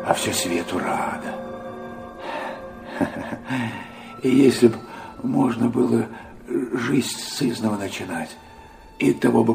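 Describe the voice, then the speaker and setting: A frail old man of the people, a devout pilgrim in a literary audio drama. His voice is low and gravelly, and he takes his words very slowly. He sounds ill yet kindly, with a pious, folksy turn of speech. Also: full character voice. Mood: frail, wistful. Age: older. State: sick and weak.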